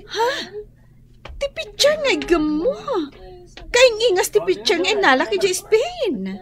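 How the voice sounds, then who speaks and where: A woman's voice: extremely high pitch, sing-song, measured pace, crowd noise, full character voice. A woman playing a blunt, nosy older neighbour in an Ilocano radio drama, with an Ilocano accent. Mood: curious.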